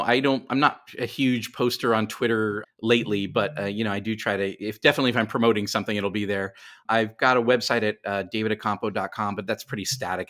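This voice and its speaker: A man's voice: lowish voice, fairly bright tone. A middle-aged man speaking casually as a guest in a podcast interview, with self-deprecating humour. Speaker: guest, writer.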